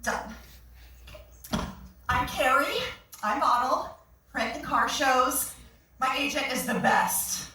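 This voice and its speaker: A woman's voice: high voice, steady tone, takes it slowly, pauses often, pushed and bright, heavily acted. A woman in a staged play reading, playing a vain, self-absorbed young model with a bubbly, blunt manner. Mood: vain, chirpy. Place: small theatre, staged reading. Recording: echoey theatre stage recorded from far off.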